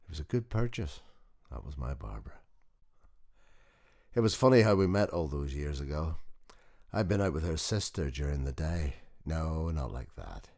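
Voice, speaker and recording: Actor as very deep-voiced old widower mechanic, wistful. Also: male voice, animated, moderate pace, creaky, darkish tone, exaggerated voice. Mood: tender, nostalgic. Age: older. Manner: conversational, wistful storytelling. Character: old working-class widower mechanic, gentle, proud, nostalgic about his wife. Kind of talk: dramatic first-person audiobook monologue.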